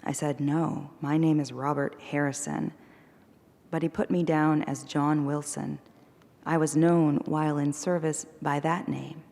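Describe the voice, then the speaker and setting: A woman poet giving a measured, deliberate reading of her poems at a live event. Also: low-pitched, flat delivery, creaky, dark tone, long reverb tail. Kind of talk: poetry reading. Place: performing arts center hall.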